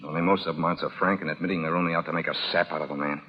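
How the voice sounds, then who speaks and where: An actor playing a proud, cynical, tough-talking rancher in 1930s radio drama style. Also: male voice, sits low, fairly even intonation, rapid-fire, close to an everyday voice. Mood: bitter, wry. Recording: dull, noisy 1930s radio recording.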